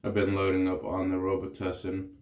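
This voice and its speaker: Low-voiced congested man in echoey bathroom. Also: fairly quick. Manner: relaxed, rambling, explains while shaving. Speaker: wet-shaving reviewer. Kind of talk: casual vlog commentary. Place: small bathroom.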